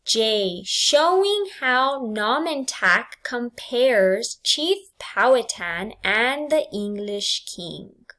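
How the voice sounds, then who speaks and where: A woman teacher reading test questions and answer choices aloud in a deliberate, enunciated way for students. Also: on the high side, animated, bright, forceful tone. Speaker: English language arts teacher.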